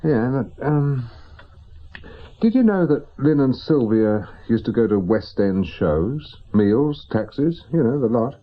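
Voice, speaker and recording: An actor playing a reluctant, reasonable investigator in a radio drama, English-accented, with a hesitant and conciliatory manner. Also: male voice, mid-range voice, expressive, slow pace, dull audio. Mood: tentative, reasoning. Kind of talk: naturalistic radio drama acting.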